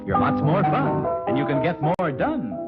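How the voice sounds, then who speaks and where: A man's voice: a little high, wildly swooping pitch, normal speed. A man announcing a commercial in jolly, upbeat 1950s radio advertising style. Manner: jolly, upbeat sales delivery. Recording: muffled old radio recording. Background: loud guitar music.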